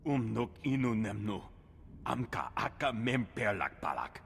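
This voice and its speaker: A gruff alien warrior from a game, growling in a guttural tongue with a gravelly rasp. His pitch swings widely, and a long reverb trails behind him. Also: expressive, a little slow, a bit bright, a little hiss or hum, exaggerated voice. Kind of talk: video game cutscene acting.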